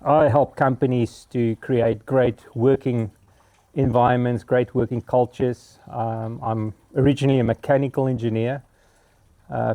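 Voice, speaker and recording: A South African man in his fifties, Afrikaans his first language and clear in his accent, talks to camera in a sincere, halting way with many pauses. His voice is mellow and warm. The sound is close and dry, with no room echo. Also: medium pitch. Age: 50s. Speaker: vlogger. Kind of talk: reflective personal monologue.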